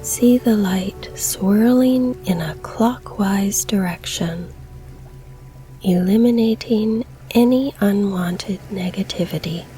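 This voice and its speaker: A woman leading a scripted guided meditation in a soft, breathy, soothing delivery with long pauses. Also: medium pitch, poor audio. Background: calm background music.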